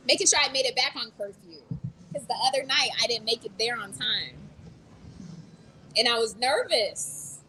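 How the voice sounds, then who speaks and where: A woman's voice: a little high, sharp tone. A young American woman chatting casually on a phone live stream, blunt and outspoken, answering her chat. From United States. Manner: chatty and blunt, mimics others in quotes, swears. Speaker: vlogger reading live chat. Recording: noisy with passing vehicles.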